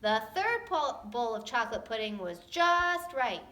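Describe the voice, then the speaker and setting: A woman reading a storybook aloud to children in an expressive, playful, tongue-in-cheek way. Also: a little high, measured pace.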